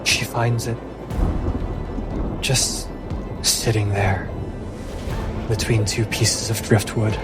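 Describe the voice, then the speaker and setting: A man working as game master, narrating a fantasy tabletop game in a measured way with dramatic pauses. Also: lowish voice, little pitch movement, loud music behind the voice. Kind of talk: slow narration of a fantasy tabletop game, casual chat afterwards.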